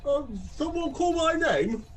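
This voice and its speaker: Daft British gentleman sleuth played by a man, very high voice, swooping intonation, old-fashioned and pompous, radio comedy. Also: lively intonation, unhurried. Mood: flustered. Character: daft, concussed gentleman detective, breezily pompous. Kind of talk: comic radio drama acting.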